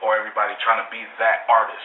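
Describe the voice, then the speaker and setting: A distant, tinny phone recording with a roomy echo carries the low voice of an American man. He is a rapper being interviewed, talking casually in emphatic slang. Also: monotone, racing, slight background noise. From Lansing, Michigan, United States. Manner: slangy, emphatic, peppered with "you know". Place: phone call. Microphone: telephone.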